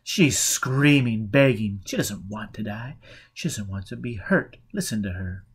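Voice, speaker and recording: A man voicing a tormented burning man from an audiobook, in an anguished, halting, urgent way. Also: lowish voice, breathy edge, voice pushed down. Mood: mocking, anguished.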